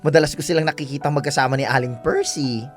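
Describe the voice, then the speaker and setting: A woman's voice: deep voice, fast talker, lightly acted. A woman playing a sassy, big-hearted salon owner with campy, slangy delivery in a Filipino audio drama. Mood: concerned, nagging. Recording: music under the voice.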